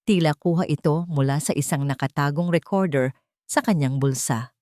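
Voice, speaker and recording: Low-voiced woman narrating a drama. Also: moderate pace, a little mellow, no echo, studio quality. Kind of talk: dramatic scripted story narration.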